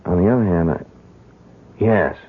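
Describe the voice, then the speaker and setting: Casting: determined, earnest radio-play hero, deep and slightly rough, thoughtful hesitations, tinny vintage sound. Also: male voice, normal speed. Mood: pensive, intent. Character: earnest, determined young man dabbling against occult forces. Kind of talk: 1970s radio drama acting. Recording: muffled, narrow-band 1970s radio recording.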